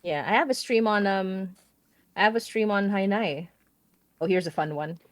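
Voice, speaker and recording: A woman's voice: mid-range voice, moderate pace, dry recording. A woman in her thirties from the Philippines, hosting a casual stream and chatting with enthusiasm and frequent giggles. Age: 30s. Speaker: podcast host and guest writer.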